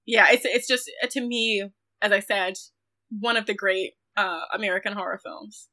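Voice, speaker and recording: A woman's voice: mid-range voice, fairly even intonation, moderate pace, bright, forceful tone. A woman speaking as a guest critic in a film discussion, thoughtful and careful, often qualifying her points as she goes. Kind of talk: reflective film discussion interview.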